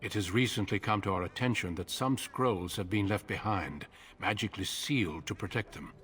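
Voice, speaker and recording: An actor playing a grave, authoritative male commander in a video game, speaking in a measured, formal way. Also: on the low side, fairly bright tone, natural voice. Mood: grave, instructing.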